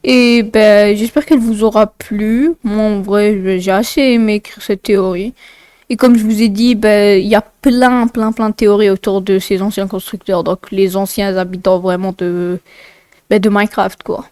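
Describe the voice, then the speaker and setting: Female video narrator, informal and digressive, clear tone but nasally congested, recorded close and dry. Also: medium pitch, fairly even intonation, moderate pace, darkish tone, no echo. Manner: informal, rambling, often digressing. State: congested, blocked nose. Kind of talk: casual explainer narration with asides.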